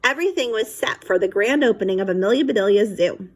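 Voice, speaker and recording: A woman's voice: mid-range voice, fast. A woman reading a children's book aloud expressively, acting out dialogue and chatting casually to young listeners between passages. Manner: animated, acts out dialogue and sound effects.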